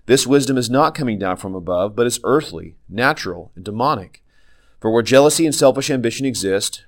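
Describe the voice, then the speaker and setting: A man who is a pastor and podcast host, teaching a Bible lesson with a sniffle from a cold, often pausing and restarting his sentences. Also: mid-range voice. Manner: halting, many fillers and restarts.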